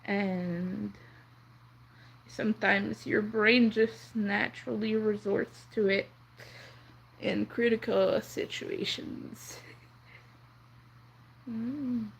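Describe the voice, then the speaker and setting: Slow husky young woman, french first language, rambly soft chat on a crochet stream. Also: mid-range voice, fairly even intonation, low-quality recording. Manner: soft-spoken, rambling, counts under her breath. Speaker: crochet livestream host. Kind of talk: casual chat while crocheting.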